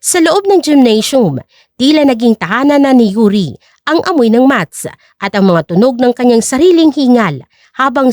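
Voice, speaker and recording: A woman's voice: high voice, a little mellow, no room sound, clean studio sound. A woman narrator reading a scripted story in a dramatic storytelling style.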